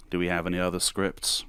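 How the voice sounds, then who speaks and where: A man's voice: deep voice, bright, forceful tone, clean tone, dry recording, full character voice. A man acting in an audio drama as a defensive TV producer, flustered and hesitant in his delivery. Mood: deflated, cynical. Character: flustered, defensive male TV producer, blithely cynical.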